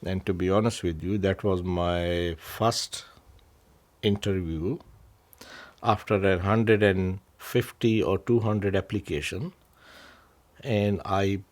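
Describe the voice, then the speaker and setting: A middle-aged man from Pakistan telling his own story in an interview, halting, with many restarts and fillers. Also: deep voice, fairly even intonation. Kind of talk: personal story told to camera.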